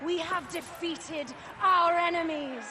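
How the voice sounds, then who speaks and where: A woman's voice: extremely high pitch, takes it slowly. A woman playing a regal, triumphant queen of ancient Egypt in a video game, speaking in a grand, declamatory manner. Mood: triumphant, proud. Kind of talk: dramatic video game acting. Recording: noisy, crowd in the background.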